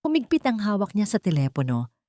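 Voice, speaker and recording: A woman's voice: mid-range voice, huge pitch swings, brisk, mellow, no room sound, studio quality. A woman reading a dramatic romance story as a scripted narration.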